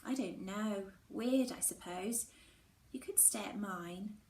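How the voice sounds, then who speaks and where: A woman's voice: medium pitch, slow pace, a little mellow. An echoey recording with faint noise behind. A woman reads as a storybook schoolgirl heroine, hesitant and earnest, a little guarded but supportive. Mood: reassuring. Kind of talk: storybook character dialogue. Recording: roomy echo with faint background noise.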